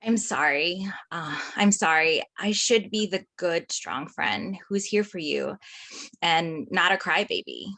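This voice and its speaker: A woman reading a quirky, lazy teenage best friend at a table read, playful and lively. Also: lowish voice, unhurried, creaky, exaggerated voice. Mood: gentle.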